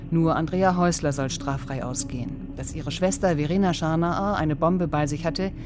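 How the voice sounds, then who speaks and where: Woman reporter for scripted news documentary narration, very low register, music underneath. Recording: music playing behind the voice.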